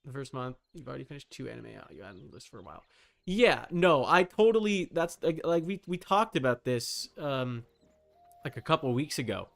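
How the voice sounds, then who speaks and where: A man's voice: medium pitch, very animated, fairly quick, vocal fry, fairly bright tone. Casual male game streamer over music. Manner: informal, teasing, swears casually. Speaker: livestreamer playing a game. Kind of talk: casual livestream chat with viewers. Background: background music.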